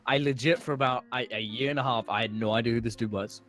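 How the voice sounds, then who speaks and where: A man's voice: mid-range voice, steady tone, fast, fairly bright tone. A nineteen-year-old man chatting casually in a group conversation as a podcast guest.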